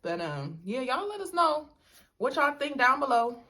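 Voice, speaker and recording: A woman's voice: high-pitched, normal speed. Young woman hosting a casual chat. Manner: laughing, expressive, talks to viewers as y'all. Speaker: mukbang host.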